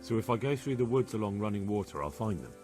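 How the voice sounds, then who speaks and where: An actor voices a determined young investigator in a medieval game. His fairly low voice is quick and direct, earnest in tone, over faint music. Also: lively intonation, lightly acted. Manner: direct, brisk questioning. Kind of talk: conversational video game cutscene acting.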